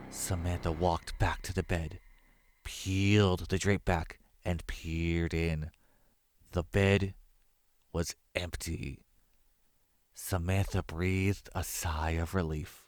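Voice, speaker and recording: Deep-voiced man narrating a horror story.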